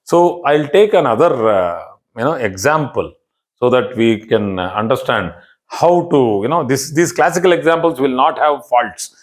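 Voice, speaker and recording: A man's voice: medium pitch, expressive, moderate pace. Indian man teaching an astrology class. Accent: Indian English. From southern India. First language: Kannada. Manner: explanatory, emphatic, repeats key phrases. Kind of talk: online class lecture.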